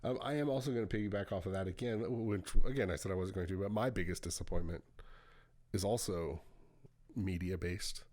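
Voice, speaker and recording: A man's voice: sits low, fairly quick, darkish tone. A man co-hosting a podcast, chatting informally and bluntly, often circling back to rephrase himself. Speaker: podcast co-host. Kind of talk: casual chat about games and pop culture.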